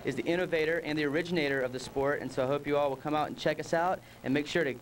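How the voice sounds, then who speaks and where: A man giving an upbeat, salesy promotional pitch as a company's promotions manager. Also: fairly even intonation, very fast, recorded from a distance, background noise.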